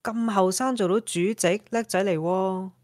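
A woman's voice: medium pitch, fairly even intonation, measured pace, a little mellow, no echo, close to an everyday voice. An actress plays a sassy, marriage-shy woman in her thirties in a radio play. She has a mid-range voice and playful, sarcastic retorts, recorded dry. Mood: teasing, frank. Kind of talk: Cantonese audio drama acting.

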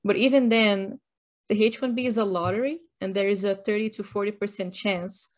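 A woman's voice: medium pitch, steady tone, normal speed. Chatty, wry woman co-hosting a podcast. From Brazil. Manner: wry, joking, chatty explainer. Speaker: podcast co-host. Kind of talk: casual chat.

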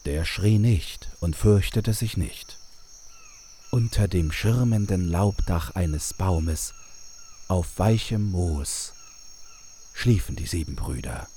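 A man narrates a fairy tale in a very deep, soft and dark voice. He takes his time with each phrase, as a measured storyteller. There is faint noise behind him.

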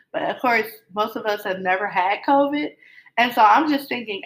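A woman's voice: medium pitch, a bit bright, rough recording. A middle-aged woman casually telling her love story, rambling with many fillers and restarts.

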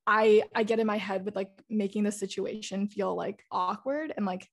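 A woman's voice: medium pitch, little pitch movement, moderate pace. Chatty young woman from Singapore. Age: young adult. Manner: chatty, many filler words, self-conscious. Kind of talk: casual chat.

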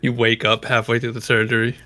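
A man's voice: mid-range voice, completely flat delivery, fairly quick, bright, forceful tone, dry recording. A young man chatting casually as a podcast co-host, blunt and teasing his friend. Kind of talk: casual chat.

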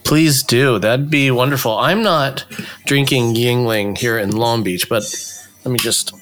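A man co-hosting a casual chat from home, animated and joking, with an irreverent way of talking. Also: mid-range voice, unhurried, loud music behind the voice. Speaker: podcast co-host. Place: home in Long Beach.

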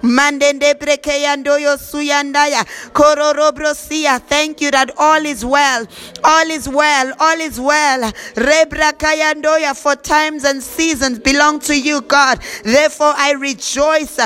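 Woman leading prayer, high bright voice, even and steady, repetitive fervent declarations. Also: slow, soft background music. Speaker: prayer leader. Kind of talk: spoken prayer with speaking in tongues.

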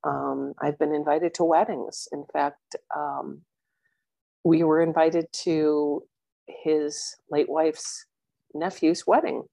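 Low creaky woman guest, chatty. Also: little pitch movement, slow pace, dry recording. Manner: chatty and open, with many ums and warm asides. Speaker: interview guest, coaching client. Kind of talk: candid conversational interview.

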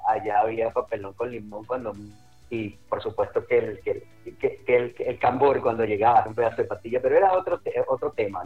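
Chatty Caracas man, low and lo-fi. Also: slightly rough. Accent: Caracas Venezuelan Spanish. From Caracas, Venezuela. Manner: friendly and chatty, with frequent restarts and light laughs. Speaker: running club director. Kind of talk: interview guest, reminiscing.

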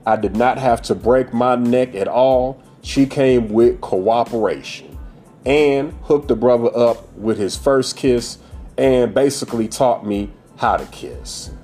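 A man's voice: medium pitch, slow, warm tone, soft background music. A man in his forties hosting a podcast, giving a blunt, profane, preachy monologue about dating. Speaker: podcast host.

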